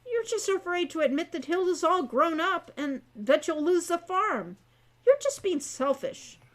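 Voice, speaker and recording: A woman's voice: extremely high pitch, sing-song, measured pace, voice pushed up, exaggerated voice. A woman voicing a bold teenage adventurer girl in a storybook, arguing in a casual, needling way. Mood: sharp, accusing.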